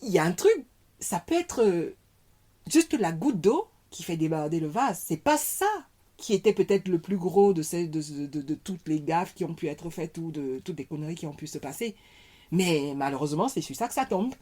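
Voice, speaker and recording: A woman, a podcast guest speaking in a thoughtful, halting way as she searches for words. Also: on the low side, animated, dark tone. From Cameroon. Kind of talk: casual conversation.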